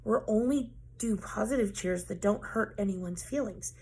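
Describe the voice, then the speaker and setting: A woman's voice: mid-range voice, moderate pace, vocal fry, darkish tone, a little hiss or hum, close to an everyday voice. A woman reading aloud, voicing a bossy know-it-all eight-year-old girl who talks in a prim, superior way.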